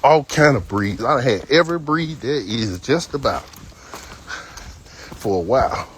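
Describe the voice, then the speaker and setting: A man's voice: mid-range voice, expressive, a little slow, a little hiss or hum. A man in his fifties, a dog trainer, talking casually and bluntly while walking his dog on an outdoor trail. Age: 50s. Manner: talkative, blunt, folksy storytelling.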